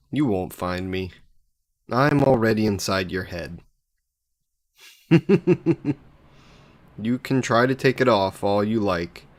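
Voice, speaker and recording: A man's voice: on the low side, no echo. A man voicing a centuries-old male demon bound in a necklace, sly and possessive, speaking in a mocking, theatrical way in an audio roleplay to the listener. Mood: sly teasing.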